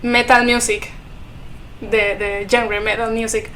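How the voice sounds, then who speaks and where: A woman's voice: on the high side, flat delivery, a little slow, fairly bright tone, slight background noise. A young woman from Ecuador whose first language is Spanish, speaking English with an Ecuadorian Spanish accent. She is a guest in a casual podcast chat and talks with frequent giggles while searching for words.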